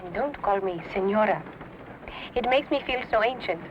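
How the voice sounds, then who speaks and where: A woman's voice: medium pitch, animated, moderate pace, natural voice. A woman playing an imperious, witty wealthy lady in a Western drama, with a worldly, drily cynical way of talking. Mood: haughty, wry. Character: imperious, witty wealthy lady shedding formalities with her servant. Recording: muffled lo-fi, loud background noise.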